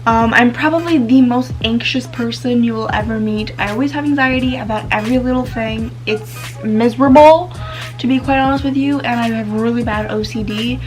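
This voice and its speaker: A woman's voice: fairly high pitch, moderate pace, faint music behind, low-quality recording. A teenage girl vlogging casually to camera, chatty and full of fillers, whose first language is Spanish. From United States. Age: teens.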